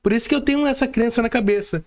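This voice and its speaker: A man's voice: very high-pitched, rapid-fire, a little mellow. A man with a Brazilian accent hosting a casual podcast, effusive and informal, often repeating himself. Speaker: podcast host. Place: remote video call.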